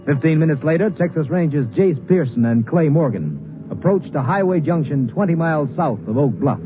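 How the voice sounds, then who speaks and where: A man's voice: a little high, sing-song, moderate pace, background noise. A man delivering scripted narration for a 1950s radio crime drama. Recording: thin, phone-like old radio recording. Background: music.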